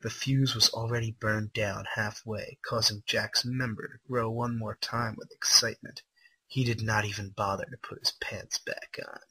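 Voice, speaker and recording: Bright, husky-voiced man reading aloud. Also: fairly low pitch, level tone, normal speed, poor audio. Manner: incredulous asides, breaks into laughter. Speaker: livestream host reading a fan story aloud. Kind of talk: live dramatic reading with mocking commentary.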